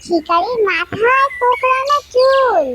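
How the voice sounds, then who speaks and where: A woman's voice: very high-pitched, expressive, measured pace, very noisy. A woman voicing a helpful, slightly cheeky bird who reports news in a cartoon. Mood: helpful, informative. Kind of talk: cartoon voice acting.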